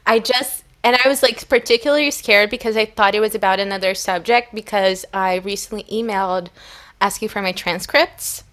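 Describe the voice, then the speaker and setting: Young woman, native Portuguese speaker, from Brazil; casual podcast co-host, rambling, middle pitch. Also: moderate pace, a bit bright. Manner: chatty, rambling, with many fillers and restarts. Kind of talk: casual chat.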